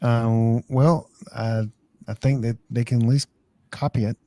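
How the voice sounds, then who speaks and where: A man's voice: medium pitch, fairly even intonation, a little slow, warm tone, no room sound. A man with an American accent hosting a casual live stream, rambling through tech advice.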